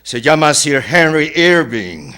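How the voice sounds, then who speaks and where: An actor playing a fatalistic Victorian novelist in a theatrical drama, solemn and declamatory, with darkly taunting, grandiloquent speech. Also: male voice, a little high, big pitch swings, slow pace, pushed and bright, clear, resonant tone, heavily acted. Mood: bitter, forceful. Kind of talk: theatrical stage-drama dialogue.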